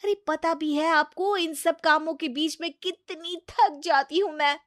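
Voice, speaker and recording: Woman playing spoiled young wife: high, loud, self-important, dramatic complainer for a family moral story. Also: a little slow, no echo, studio quality. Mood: whiny, boastful. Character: arrogant, whiny, self-important young married woman, lazy daughter-in-law.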